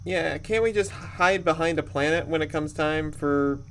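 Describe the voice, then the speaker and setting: A man's voice: fairly high pitch, moderate pace, a bit bright. A man playing a tabletop game, chatting casually in a joking manner. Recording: faint background noise.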